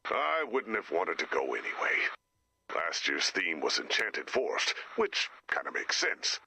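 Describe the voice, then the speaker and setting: A man voicing a slick, pompous corporate director spouting business jargon in a video game, gravelly and husky. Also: sits low, huge pitch swings, a little slow, sharp tone, no room sound, exaggerated voice. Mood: sulky, earnest. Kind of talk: video game character voice lines.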